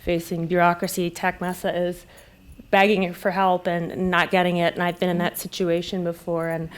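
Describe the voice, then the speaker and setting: Brief: female caregiver speaking at a public forum, lowish pitch, candid and conversational. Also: level tone, normal speed, a little hiss or hum. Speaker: military caregiver, panel speaker. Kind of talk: personal testimony at a public discussion.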